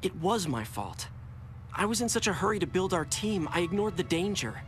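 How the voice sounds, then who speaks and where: An animated-series teen hero, earnest and cocky, with a swinging, expressive pitch. Loud music plays behind him. He sounds like a young team leader. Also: moderate pace. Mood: remorseful, earnest. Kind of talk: animated cartoon voice acting.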